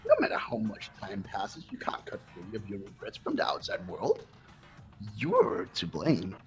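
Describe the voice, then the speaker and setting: A performer voicing a sadistic, mocking mechanical bear in a fan-voiced video game, gravelly and theatrical, with taunting cackles. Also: low voice, wildly swooping pitch, a little slow, soft background music, exaggerated voice. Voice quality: gravelly, raspy. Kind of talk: fan voice acting over a game playthrough.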